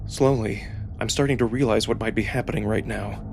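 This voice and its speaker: A man with a husky voice reading first-person fiction aloud as a dramatic narrator. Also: fairly low pitch, steady tone, a little fast, noisy background. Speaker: narrator and performer of a visual novel reading. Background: background music.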